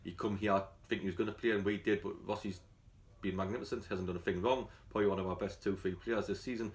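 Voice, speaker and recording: A man with a London accent talks at a brisk clip in a fairly low voice. He sounds like a football manager at a casual catch-up, chatty and colloquial, telling things the way he would to mates. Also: fairly even intonation. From London, England. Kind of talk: casual press catch-up interview.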